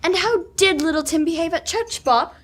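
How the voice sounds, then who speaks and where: A woman's voice: very high voice, animated, moderate pace. A young woman playing a caring, bustling mother in an old-time radio drama. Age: teens.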